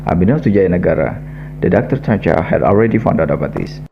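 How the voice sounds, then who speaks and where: A man's voice: sits low, big pitch swings, fast talker, gravelly, heavily acted. A young man giving scripted historical narration as the narrator of a radio drama. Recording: dull lo-fi, music behind.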